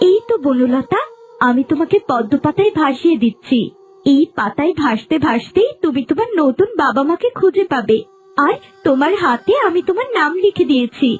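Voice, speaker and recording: A woman's voice: high voice, lively intonation, moderate pace, a little hiss or hum. A woman voicing a powerful, protective fairy in a cartoon, commanding yet tender. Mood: tender, sorrowful. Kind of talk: cartoon character dialogue. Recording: music behind the voice.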